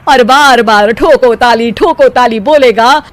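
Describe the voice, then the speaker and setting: A voice actor playing a fond middle-aged mother in a cartoon, doting on her son while gently scolding him. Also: mid-range voice, unhurried, dry recording, close to an everyday voice. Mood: wry. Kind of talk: cartoon voice acting.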